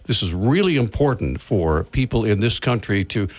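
Brief: male talk radio host, low voice with lively swings, stumbling delivery. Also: moderate pace. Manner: stammering, repeats words. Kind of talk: radio interview. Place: radio studio.

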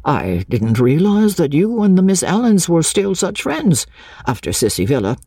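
Woman reading as a gentle, mellow English gentleman. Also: on the low side, big pitch swings, breathy edge, dry recording, clean studio sound, voice pushed up, full character voice. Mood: mildly surprised. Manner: gentle, formal, measured. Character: courteous, measured, sympathetic English gentleman. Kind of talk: audiobook character dialogue.

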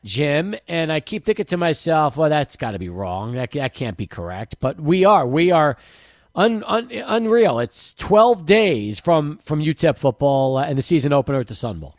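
A man hosts sports talk radio with a slightly raised pitch and an easy, chatty manner. He is close on a dry studio mic and sums up his guest's points with agreeable asides. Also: lively intonation, normal speed, no echo. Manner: chatty, agreeable, leading questions. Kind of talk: radio interview.

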